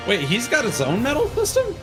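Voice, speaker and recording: Guy streamer chatting over gameplay, thinking out loud, animated pitch, game music behind. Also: brisk. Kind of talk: casual gameplay commentary.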